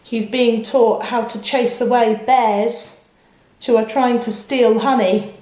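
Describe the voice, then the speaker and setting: A woman reading a picture book aloud to children, expressive, with explanations and questions to the listener. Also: a little high, slow. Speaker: children's storyteller. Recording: echoey room.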